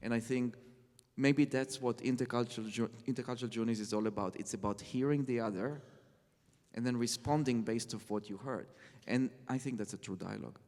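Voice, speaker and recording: A man speaking reflectively as a guest on stage, halting and repeating phrases for emphasis. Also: mid-range voice, a little mellow. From Israel. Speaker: interview guest, orchestral cellist. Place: on stage in a concert hall. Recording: reverberant concert hall.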